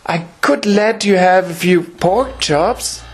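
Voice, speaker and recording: An actor playing a mild, honest small-town butcher, polite and earnest, in an audio drama. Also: male voice, high voice, slow pace, exaggerated voice. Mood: apologetic. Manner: courteous, earnest.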